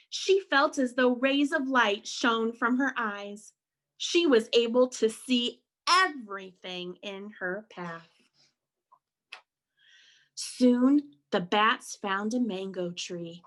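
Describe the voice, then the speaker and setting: A woman reading a picture book aloud to young children as a storyteller, dramatically, with hushed and stretched words. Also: fairly high pitch. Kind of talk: animated read-aloud for kindergarteners.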